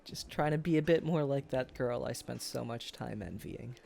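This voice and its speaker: A man playing a wistful, self-deprecating former high school sweetheart in an intimate, halting boyfriend ASMR roleplay. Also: animated, gravelly, darkish tone. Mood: regretful, tender. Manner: hesitant, halting, chuckles through confessions.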